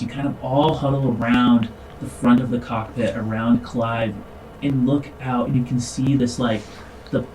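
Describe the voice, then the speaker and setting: A man narrating a tabletop game as game master, improvising descriptions in a halting, informal way. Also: mid-range voice, a little mellow, recorded from a distance, a little hiss or hum, low-quality recording. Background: music.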